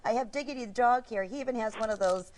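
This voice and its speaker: A woman's voice: fairly high pitch, fast, very noisy. A woman hosting a shopping channel, presenting products casually with a gushing manner.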